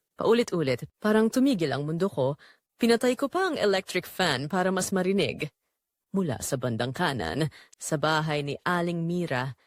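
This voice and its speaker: A woman's voice: lowish voice, no echo, clean studio sound. A woman narrating a scripted first-person audio drama in short, dramatic, suggestive phrases.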